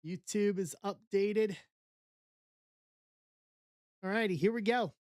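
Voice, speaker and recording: East Coast woman hosting a live break stream, unhurried list-reading, dry close mic. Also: mid-range voice, darkish tone, no echo. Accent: East Coast American. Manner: slow, reading out a list in short bursts. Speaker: card break host.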